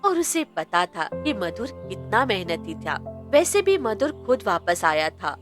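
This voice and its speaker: Unhurried woman narrator over music. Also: mid-range voice, sing-song. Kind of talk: scripted moral story narration.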